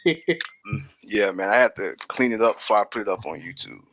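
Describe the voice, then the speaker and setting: A man's voice: lowish voice, normal speed, a bit bright. A man being interviewed as an audio drama creator, chatting casually and peppering his talk with "man". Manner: laid-back phrasing, says "man" often.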